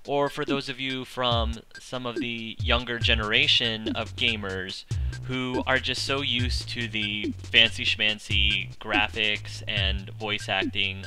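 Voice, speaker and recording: A man with a very bright, forward voice introduces a gaming video over soft music. He sits a little low in pitch and talks casually but emphatically, as an enthusiastic Let's Play host. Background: video game music.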